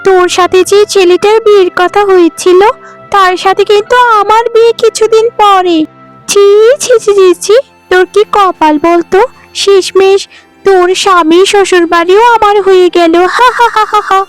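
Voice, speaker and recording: Woman voicing a very high-pitched, spiteful young bride. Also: fairly even intonation, moderate pace, soft background music. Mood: mocking, haughty. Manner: gloating, taunting, shrill exclamations. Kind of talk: animated folk-tale dialogue.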